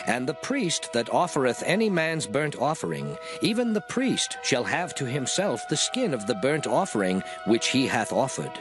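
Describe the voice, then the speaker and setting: A man giving a solemn, declarative, measured dramatized reading of old scripture. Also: medium pitch, big pitch swings. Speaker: scripture reader. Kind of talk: dramatized King James Bible reading, scripted. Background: music.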